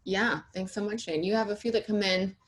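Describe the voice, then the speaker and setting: A woman's voice: medium pitch, a little fast. A woman moderating a question session in a casual, conversational style.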